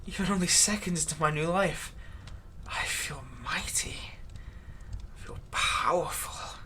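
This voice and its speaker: A man playing a vengeful slave who is turning himself into a vampire, in a close roleplay monologue, taunting and theatrical, dropping into breathy whispers. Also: on the high side, a little slow, pushed and bright. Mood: exultant, smug. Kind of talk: roleplay audio acting, close ASMR monologue.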